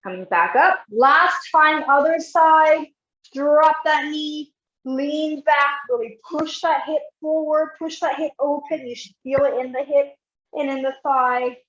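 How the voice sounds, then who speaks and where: A woman physiotherapist with a high voice talks a class through stretches, step by step and encouraging. Her voice is a little croaky. The sound is roomy and echoing, with a dull, lo-fi quality. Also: unhurried, fairly bright tone. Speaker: physiotherapist leading an exercise class. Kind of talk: live online exercise instruction.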